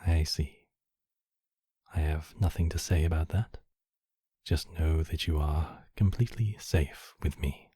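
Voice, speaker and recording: A man voicing a courtly, possessive demon king, menacing yet tenderly protective, in slow, intimate ASMR roleplay with formal, measured phrasing. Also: extremely low pitch, warm tone, husky, dry recording, clean studio sound, exaggerated voice. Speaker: voice actor in a roleplay. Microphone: close microphone, dry.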